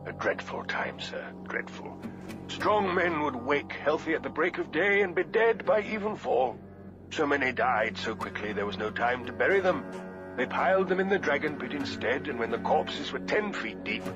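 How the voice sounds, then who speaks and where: An actor playing a grave, solemn septon with emphatic old-fashioned diction, in a dramatic audiobook reading. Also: male voice, medium pitch, natural voice. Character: grave male septon recalling a dreadful plague. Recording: dull lo-fi sound under clear music.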